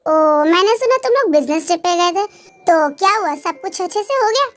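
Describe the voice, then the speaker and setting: A woman's voice: extremely high pitch, animated, a little fast. A woman voicing a hospitable, traditional middle-aged father-in-law in a romance story, polite and a little fussy. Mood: welcoming.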